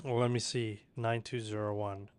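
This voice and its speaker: A man's voice: fairly low pitch, little pitch movement, normal speed, clean tone. A man hosting a live stream, commenting casually and joking loosely as he reviews submissions. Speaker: livestream host.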